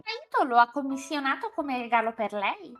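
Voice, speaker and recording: A very high-pitched, animated shopkeeper played by a woman from a visual novel, polite and earnest. The delivery is bright and kindly, with faint music underneath. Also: moderate pace. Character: polite, earnest shop owner, kindly pleading with a customer. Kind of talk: live visual novel reading.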